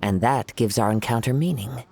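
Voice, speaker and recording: A man voicing a young, serene wandering samurai in a video game, with a soft, breathy voice and a poetic, unhurried, gentle manner. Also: mid-range voice. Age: young adult.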